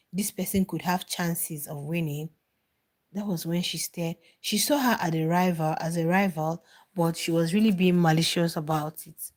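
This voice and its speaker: Chatty woman with a low voice commenting on a reality show. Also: a little mellow. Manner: chatty, answers viewer comments, repeats phrases for emphasis. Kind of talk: casual live-stream commentary.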